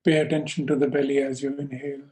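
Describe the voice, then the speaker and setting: A male yoga teacher leads a guided meditation in a medium-pitched, level voice. He speaks calmly in short, measured phrases and leaves space between instructions. The delivery stays even, with little melodic movement.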